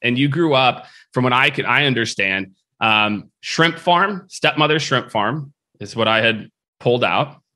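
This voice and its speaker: A man hosting an interview podcast, energetic and loose, asking long rambling questions full of fillers. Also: medium pitch, measured pace. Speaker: podcast host. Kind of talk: conversational interview.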